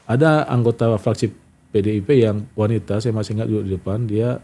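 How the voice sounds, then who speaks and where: A man's voice: fairly low pitch, fairly even intonation, a little fast, mellow. A middle-aged man, recounting anecdotes as an interview guest and acting out quoted exchanges in casual conversation. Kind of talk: casual talk show interview, telling anecdotes.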